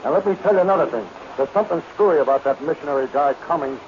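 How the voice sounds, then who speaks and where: A noisy, muffled old radio recording carries an actor's take on a tough American saloon boss. He talks blunt gangster slang in a markedly high male voice, suspicious and hard, in comic burlesque style. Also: lively intonation, rapid-fire, natural voice. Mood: urgent, warning. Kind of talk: 1930s radio comedy burlesque acting.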